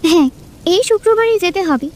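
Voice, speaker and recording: Very high-voiced, wistful young woman, acted by a woman. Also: animated, a little fast, a little mellow. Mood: quietly sad. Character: wistful young woman, nameless coffee-shop companion in love. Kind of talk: audio drama acting.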